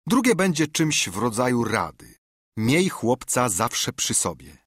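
A man's voice: medium pitch, very animated, a little slow, fairly bright tone, slightly rough, no echo, clean studio sound, full character voice. A man voicing a kindly, earnest middle-aged doctor who pleads urgently, in an audiobook. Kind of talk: audiobook character reading.

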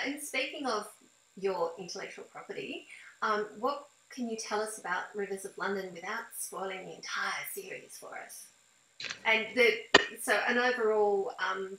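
A middle-aged woman conducts an interview in a conversational way. Her pitch sits in an average range, and the room adds clear echo to her home video call. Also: fairly bright tone, a little hiss or hum. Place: small home room with blinds. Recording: roomy, echoing home recording.

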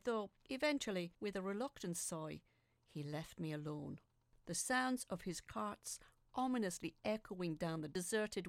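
A woman's voice: mid-range voice, creaky, no room sound. Woman narrating a suspenseful story, close and dry. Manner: theatrical, deliberate, suspenseful storytelling. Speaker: narrator reading a fictional letter. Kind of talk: dramatic scripted first-person narration, audio drama.

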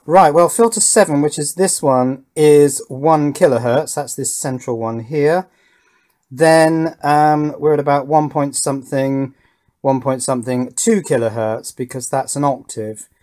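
A man's voice: fairly high pitch, unhurried, darkish tone. A middle-aged British man giving an unscripted hands-on electronics demonstration, methodical and thinking aloud as he goes. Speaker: electronics hobbyist presenter. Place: indoor home workbench.

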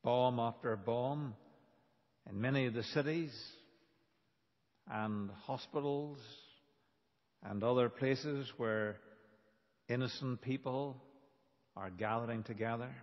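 A man preaching a sermon as a pastor, in a deliberate, emphatic manner with dramatic pauses. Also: medium pitch. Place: large reverberant church. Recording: dull, reverberant church hall recording.

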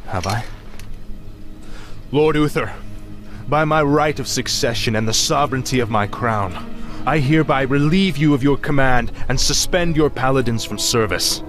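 An actor playing a proud young warrior prince, defiant and imperious, in a video game cutscene. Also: male voice, mid-range voice, normal speed, fairly bright tone, faint music behind, lightly acted. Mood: imperious anger.

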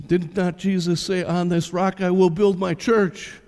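An older pastor preaches from a church stage in a mellow, slightly high voice. He talks to the congregation conversationally and keeps putting questions to them. A long hall reverb trails each phrase. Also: flat delivery, a little slow. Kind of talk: sermon.

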